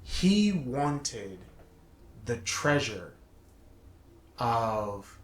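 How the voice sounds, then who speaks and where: Man, casual review talk, slow halting delivery, mid-range voice, roomy echo. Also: medium pitch, big pitch swings. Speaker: show co-host. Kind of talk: casual film and game review discussion. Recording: roomy, echoing sound.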